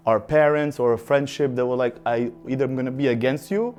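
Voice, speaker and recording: A man's voice: mid-range voice, fairly even intonation, a little fast, darkish tone, clean tone, soft background music. A man, chatting casually as a contestant in a group game. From Albania. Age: 30s. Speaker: contestant in a fan panel game.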